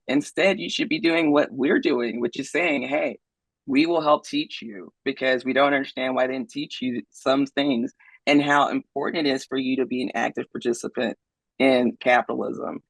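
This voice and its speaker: A man's voice: mid-range voice, creaky, slightly rough, no room sound, low-quality recording. A man speaks as a podcast guest and investor in a conversational way, often ending his points with "right?". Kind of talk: conversational interview.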